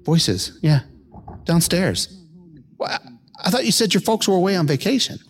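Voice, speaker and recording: A man's voice: high voice, animated, moderate pace. An actor playing an eager, wry man caught up in a time-travel audio drama. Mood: bewildered. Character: Jeff, wry man thrust through time, excitable, eager.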